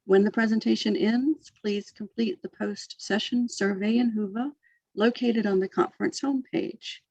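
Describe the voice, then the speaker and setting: A woman reads out scripted session announcements in a formal, measured way, at a middle pitch. The audio is dull and lo-fi, like a video call. It sounds dry and close, with no room around her. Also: no room sound. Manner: formal, even reading. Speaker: session convener.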